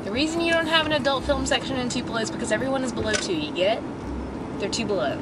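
Joking young woman in a noisy car. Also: a little high. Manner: playful, joking, quick banter. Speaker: vlogger. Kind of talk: casual chat among friends. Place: inside a moving car. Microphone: dashboard camera. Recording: road noise in a car.

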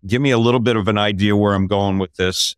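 An older man, a podcast host, telling a story in casual talk over a video call from a home office, his voice climbing when he gets excited. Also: fairly low pitch, fast, clear, resonant tone, no echo, studio quality.

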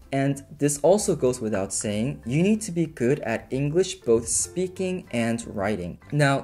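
A young man in his twenties giving an upbeat, scripted explainer to camera from a small bedroom. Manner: upbeat, clear and instructive, lively pitch. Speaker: vlogger explaining a teaching program. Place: small bedroom apartment, indoors.

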